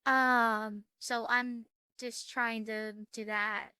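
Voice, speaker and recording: A woman hosting a gaming video, chatting casually and reading game text aloud in a halting way that repeats words. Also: fairly high pitch, flat delivery, sharp tone, smooth, dry recording.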